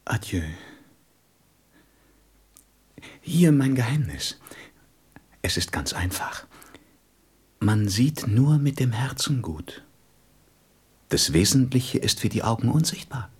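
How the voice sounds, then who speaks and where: An actor playing a gentle, wise and wistful fox in a radio play, speaking tenderly and deliberately and often dropping into whispers. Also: male voice, mid-range voice, exaggerated voice. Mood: solemn, wise. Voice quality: husky, breathy voice that drops into whispers. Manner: deliberate, expressive, tender storybook delivery.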